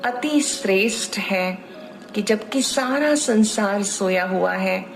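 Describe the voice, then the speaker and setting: A woman leads a guided meditation at a very unhurried pace. Her manner is devotional and instructive, with soft music faintly behind her. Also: medium pitch, creaky, rough recording. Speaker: meditation leader, spiritual teacher.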